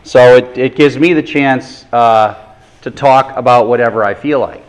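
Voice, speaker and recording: A man lecturing a university class in a relaxed, conversational way, with self-deprecating jokes. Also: mid-range voice, rough recording. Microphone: microphone adjusted during talk.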